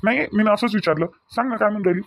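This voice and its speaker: A man's voice: sits high, rapid-fire. A man playing an easygoing grown son in a family drama, pleading repetitively in a Varhadi Marathi accent. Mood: puzzled. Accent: Varhadi Marathi of Vidarbha. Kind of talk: amateur family comedy-drama dialogue.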